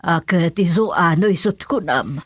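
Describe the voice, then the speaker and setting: A woman's voice: on the low side, expressive, a little slow, dry recording. An actress playing a queen and mother in a comic Ilocano radio drama, exclamatory and questioning, with an Ilocano accent. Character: queen, king's wife and mother of a princess.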